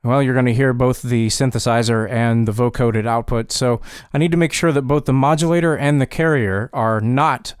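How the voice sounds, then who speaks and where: A man narrating a software tutorial step by step in a casual, informal way, with dry wry asides. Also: medium pitch, steady tone, moderate pace, few pauses, smooth, no room sound. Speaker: tutorial presenter.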